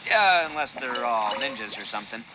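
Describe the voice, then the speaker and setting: An actor voicing a cocky, hot-blooded young oni gang boss in a video game, theatrical and swaggering. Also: male voice, medium pitch, animated, a little fast, heavy background noise, lightly acted. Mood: curious, confident. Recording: game music behind the voice.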